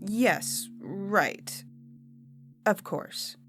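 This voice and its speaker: A woman's voice: mid-range voice, lively intonation, drawn-out words, fairly bright tone. A woman playing a confused, meek old woman, hesitant and deferential, in a science fiction audio story. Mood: meekly compliant. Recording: music bed under the voice.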